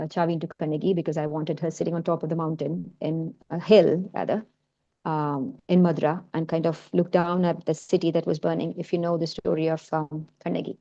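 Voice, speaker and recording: A woman's voice: low-pitched, dark tone, muffled recording. A woman, a Malayalam speaker with a mixed Indian and American accent, presenting her film work in a hesitant talk full of fillers and restarts. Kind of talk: informal talk in an online session.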